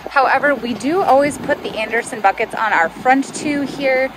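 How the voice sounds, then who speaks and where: A young woman talks in a bright, chatty vlog manner, walking viewers through her stop. Her voice sits a little high. Steady outdoor and engine noise runs under the camera mic. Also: normal speed. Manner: upbeat, chatty, explaining. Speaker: RV travel vlogger. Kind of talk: casual vlog chat. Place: outdoors at an RV park, then inside a pickup truck.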